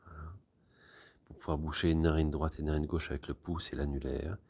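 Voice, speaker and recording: A man teaches yoga in a low, slightly breathy and husky voice. He guides posture and breath gently and without hurry. The sound is close and dry. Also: dry recording. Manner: calm, unhurried, gently instructing. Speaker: yoga teacher leading a class.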